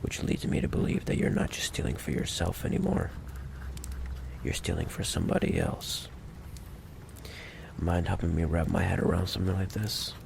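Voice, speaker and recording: A man playing a menacing, impatient mafia boss in an ASMR roleplay, taunting the listener with slow, mock-courteous threats in a gravelly voice. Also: huge pitch swings, darkish tone, a little hiss or hum, exaggerated voice. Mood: calm, probing. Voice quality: deep, gravelly. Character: menacing, possessive male mafia boss, smooth-talking and impatient.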